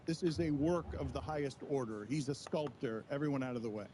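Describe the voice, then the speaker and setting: A man's voice: medium pitch, brisk, dark tone, slight background noise. A man hosting a sports talk show, building up hype in a theatrical way. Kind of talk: studio talk show chat. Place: studio.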